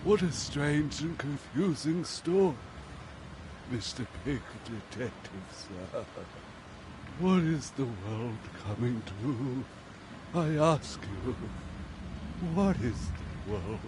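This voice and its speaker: A man's voice: mid-range voice, lively intonation, slow, noisy background. A man voicing an aggrieved, dramatic video game character with a theatrical, lamenting delivery.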